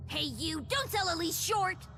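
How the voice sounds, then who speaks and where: A very high, squeaky cartoon voice for a small, excitable doll in a video game. It is brash and sassy, with clear music playing behind it. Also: female voice, a little slow. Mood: indignant. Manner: brash, exclamatory. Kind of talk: video game cutscene acting.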